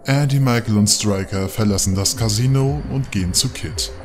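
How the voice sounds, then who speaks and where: A man's voice: fairly low pitch, normal speed, close to an everyday voice. A man narrating a scripted audio drama as its storyteller, in scene-setting prose. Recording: voice over loud background music.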